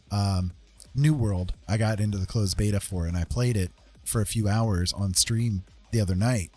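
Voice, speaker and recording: A man's voice: lowish voice, darkish tone. A man livestreaming in a casual, conversational way, explaining things to his viewers. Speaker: streamer.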